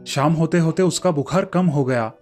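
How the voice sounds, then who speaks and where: A man's voice: a little high, moderate pace. A man narrating a scripted children's story as a storyteller. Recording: faint music under the voice. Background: soft background music.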